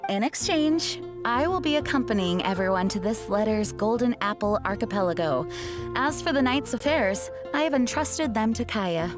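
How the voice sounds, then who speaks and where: A woman's voice: fairly high pitch, animated, moderate pace, natural voice. A woman playing a serious, dutiful young knight commander in an anime video game, formal and earnest. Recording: music clearly behind the voice.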